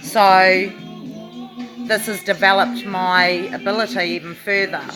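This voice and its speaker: A woman's voice: medium pitch, fairly even intonation, unhurried, over music, poor audio. A woman, talking casually to the camera in a rambling way with many fillers and restarts. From New Zealand. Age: 40s. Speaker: vlogger.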